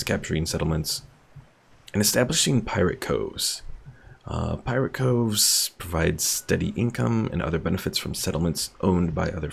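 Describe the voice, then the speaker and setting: A man streaming a strategy game, explaining its mechanics in a measured, explanatory way. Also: sits low, gravelly, slightly rough. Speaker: gaming streamer.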